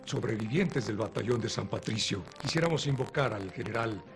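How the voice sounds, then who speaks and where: A man's voice: mid-range voice, expressive, fairly quick. Male host, conversational. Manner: conversational, explanatory. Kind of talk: educational TV interview. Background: music underneath.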